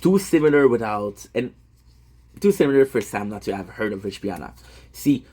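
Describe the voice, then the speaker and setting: A man's voice: lowish voice, sing-song, moderate pace, warm tone. A man in his thirties, a gaming content creator, talking in a rambling, self-deprecating monologue full of fillers and restarts. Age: 30s.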